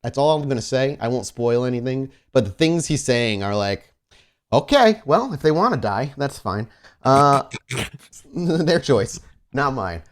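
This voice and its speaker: A man speaking casually as host and game master of a tabletop roleplaying podcast, chatting and setting scenes. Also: mid-range voice. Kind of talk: casual chat moving into improvised scene narration.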